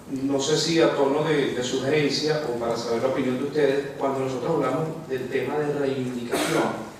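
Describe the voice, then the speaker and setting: A man from Venezuela puts a question from the floor of a lecture hall, formal but halting as he repeats words. His mid-range voice carries from far away. The heavy echo of the hall and faint background noise surround him. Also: mid-range voice, a little mellow. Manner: halting, repeats words while searching for phrasing. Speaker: audience member asking a question. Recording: distant, very echoey lecture hall.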